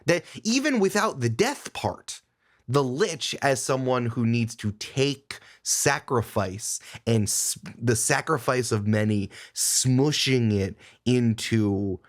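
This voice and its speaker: A man talking as a podcast guest, casual and animated, thinking aloud and repeating phrases for emphasis. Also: mid-range voice, slow pace.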